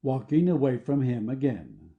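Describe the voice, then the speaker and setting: A man's voice: medium pitch, big pitch swings, moderate pace, phone-quality sound. A man reading stage directions as scripted narration for an audio play.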